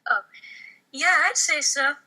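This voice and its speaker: Bold young female thrill-seeker read by a woman for a mafia spoof: high, very bright, flippant, swinging intonation. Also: slow pace, full character voice, low-quality recording. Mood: flippant, eager. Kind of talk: casual comedy table read.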